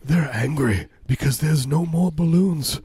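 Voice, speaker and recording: Male comedy podcast host, hoarse and mellow, loose absurd banter. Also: fairly high pitch, unhurried, no room sound. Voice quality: husky, scratchy. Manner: rambling absurd riffing. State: losing his voice, hoarse.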